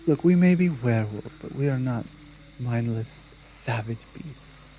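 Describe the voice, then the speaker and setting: A woman voicing a dominant, muscular female werewolf pack leader in a measured, deliberate ASMR roleplay. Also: a bass voice, expressive, dark tone, a little hiss or hum, voice pushed down, low-quality recording. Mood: proud, challenging.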